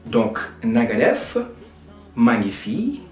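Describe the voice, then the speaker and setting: A man teaches slowly and patiently, spelling each word out letter by letter. His pitch swings widely as he stresses words. He is recorded in an echoey room with faint music behind him. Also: very animated, a little mellow. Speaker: language teacher. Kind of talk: Wolof lesson in French.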